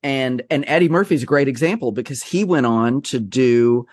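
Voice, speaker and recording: A man's voice: mid-range voice, normal speed, slightly rough, no room sound. Chatty man with a dry, close sound. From Texas. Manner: rambling, self-deprecating, animated storytelling. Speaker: drag performance artist, interview guest. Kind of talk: conversational interview.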